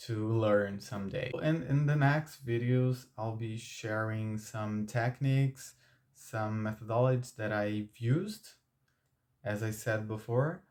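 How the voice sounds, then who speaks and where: A man's voice: on the low side, a little mellow. A young Brazilian man with a Brazilian Portuguese accent, a native Portuguese speaker, talking to camera for his channel in a hesitant way with many fillers and restarts. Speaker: YouTube channel host. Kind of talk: unscripted talk to camera.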